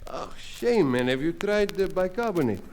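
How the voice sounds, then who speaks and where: A blustering South African sergeant. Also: male voice, sits high, very animated, moderate pace, very noisy. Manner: pompous, blustering.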